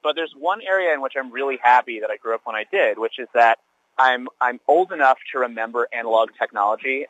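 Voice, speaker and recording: A man's voice: medium pitch, a bit bright, no echo. A man in his thirties, a teacher, chatting wryly and rambling with lots of fillers, on a phone call from a sheltered spot outdoors. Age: 30s. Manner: rambling, wry, many fillers and restarts. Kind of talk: casual phone chat.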